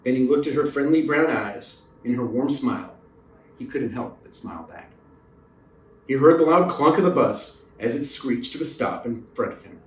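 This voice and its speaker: A man's voice: medium pitch, animated, moderate pace, a little hiss or hum. A man reading a children's story aloud as a narrator, recorded in a roomy space away from the mic. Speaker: storybook reader. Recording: roomy echo, distant mic.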